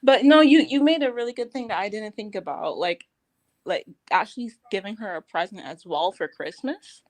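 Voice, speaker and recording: A woman's voice: medium pitch, normal speed. Bubbly woman guest who laughs often. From Barbados. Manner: bubbly, laughs often, trails off mid-sentence. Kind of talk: casual live-stream chat.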